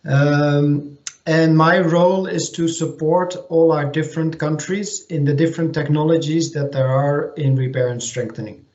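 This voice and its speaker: A man giving an online technical presentation as a technical manager, speaking hesitantly with many pauses and restarts. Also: a little high, fairly even intonation, a little mellow. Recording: echoey lo-fi video call audio.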